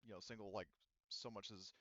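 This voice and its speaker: Halting male game master, dry slightly dull recording. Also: lowish voice, fairly even intonation, bright, forceful tone, no echo. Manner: explanatory, halting, repeats and rephrases phrases. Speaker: game master of a tabletop roleplaying game. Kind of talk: casual, improvised game narration.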